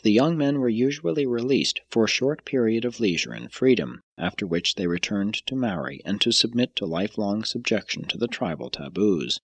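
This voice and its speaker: A man narrating an audiobook from a script in a measured, formal way, with deliberate emphasis. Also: on the low side, lively intonation, husky, no room sound.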